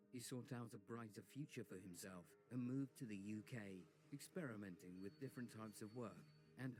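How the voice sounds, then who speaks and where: A man narrating a film trailer in a slow, weighty, epic delivery. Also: lowish voice, a little mellow. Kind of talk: dramatic movie trailer narration.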